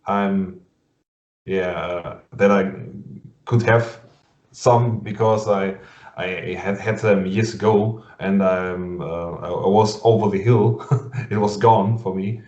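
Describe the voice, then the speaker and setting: A man with a German accent interviewing a guest over a video call in English, halting and full of fillers and restarts, laughing often. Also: low-pitched, steady tone, darkish tone. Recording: muffled lo-fi sound in an echoey room.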